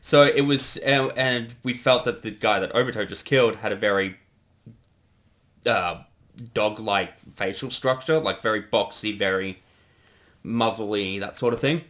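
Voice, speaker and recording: A man giving a casual creator commentary, explaining choices and thinking aloud with pauses. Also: fairly low pitch, a bit bright.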